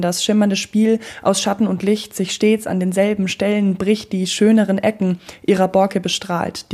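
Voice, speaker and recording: A woman narrating a rhymed story in a measured, rhythmic way. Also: mid-range voice, warm tone.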